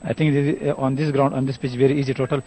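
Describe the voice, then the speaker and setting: A man with an Afghan accent, a native Pashto speaker and winning team captain, answering post-match questions in hesitant broken English with many pauses. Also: medium pitch, little pitch movement, dark tone, a little hiss or hum.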